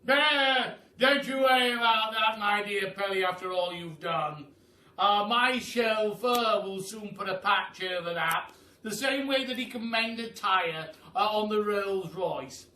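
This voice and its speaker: A man's voice: high-pitched, a little slow, pushed and bright, pitched above the performer's own voice. A man voicing a grand, blustering, generous old Duke in a children's storybook, pompous and emphatic with hesitant uhs. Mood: insistent. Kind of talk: children's storybook read aloud. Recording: echoey room, distant mic.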